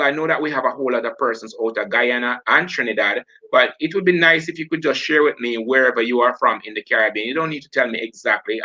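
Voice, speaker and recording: A man's voice: mid-range voice, bright, forceful tone, muffled recording. A man from Jamaica with a Jamaican accent, hosting a live online revision session and talking through past papers as he goes. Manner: talks while working through papers, self-correcting.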